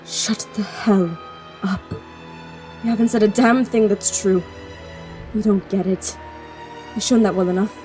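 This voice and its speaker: Woman acting mellow, grief-stricken young princess. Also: mid-range voice, close to an everyday voice. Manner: blunt, bleak. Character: grieving young princess, bitter and despairing. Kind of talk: audio drama acting.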